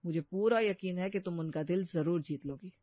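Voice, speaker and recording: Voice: medium pitch, moderate pace, no echo, highs cut off, close to an everyday voice. A performer voicing a kind, reassuring young husband in a family story. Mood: caring.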